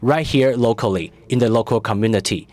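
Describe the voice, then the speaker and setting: A man giving live interpretation of a conference speech, halting, with frequent fillers. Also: mid-range voice, a little mellow. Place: conference hall.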